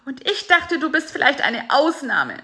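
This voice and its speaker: A woman voicing a small excitable male fly with high, shrill outbursts in a storybook reading. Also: full character voice. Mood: indignant. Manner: shrill, quick, squealing outbursts.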